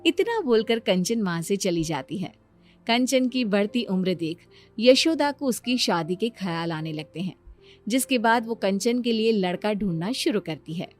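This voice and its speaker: A woman's voice: mid-range voice, expressive, moderate pace, soft background music. A woman reading scripted narration for a family moral story.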